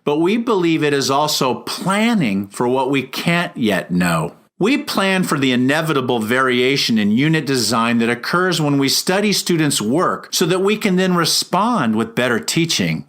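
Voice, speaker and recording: A man reads prepared prose aloud in a mid-pitched voice with very lively, varied intonation. His phrasing is measured and emphatic, like a teacher-author narrating a professional book. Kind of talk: scripted audiobook-style read-aloud.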